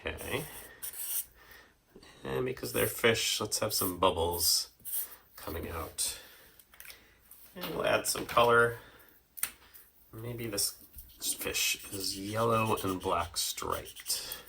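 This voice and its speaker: A man with a bright, forward voice on the low side leads a casual drawing demonstration for kids. He explains things simply and playfully, step by step. There is faint noise behind him. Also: takes it slowly, creaky, pauses often. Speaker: host of a children's drawing segment.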